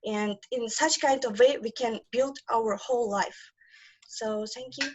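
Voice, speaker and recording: A woman student giving a class presentation, speaking haltingly with frequent restarts. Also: on the high side, steady tone, husky, muffled recording.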